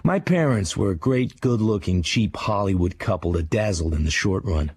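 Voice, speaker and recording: An actor playing a hard-edged crime writer narrating his dark memoir in a sardonic, clipped manner for a radio drama. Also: deep voice, expressive, normal speed, husky, no room sound. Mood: sardonic, cool.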